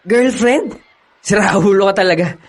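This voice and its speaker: A man's voice: high-pitched, normal speed. An actor playing a young male college friend who teases and laughs along with his buddy. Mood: teasing. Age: young adult. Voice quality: teasing, laughing often. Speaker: actor in a radio drama. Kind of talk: radio drama acting.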